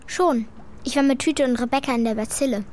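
A woman's voice: high voice, brisk, darkish tone, natural voice. A female performer playing a blunt, cheeky schoolgirl in a children's radio play, speaking in a colloquial, matter-of-fact way. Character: blunt, cheeky schoolgirl telling her story reluctantly.

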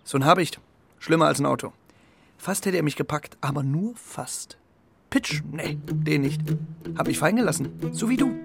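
An actor playing a scrappy, boastful young homing pigeon in a children's radio play, talking in clipped, offhand phrases. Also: male voice, fairly high pitch, moderate pace, creaky, a little hiss or hum. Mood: breathless relief. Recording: guitar music behind the voice.

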